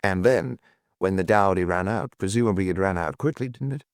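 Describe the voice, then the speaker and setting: A man voicing a gruff, skeptical police chief inspector in an audiobook, with blunt, probing questions. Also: sits low, fairly quick, dry recording, studio quality. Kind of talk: audiobook character dialogue.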